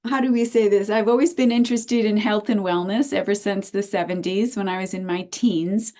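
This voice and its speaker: An American woman in her sixties, a naturopath and teacher, chatting as an interview guest over a video call and telling her story with lively restarts. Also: mid-range voice. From United States.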